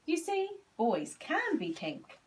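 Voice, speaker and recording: A woman's voice: sits high, wildly swooping pitch, slow pace, voice pushed up, full character voice. A woman voicing a cheerful father penguin in a children's storybook, encouraging and reassuring. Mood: amused.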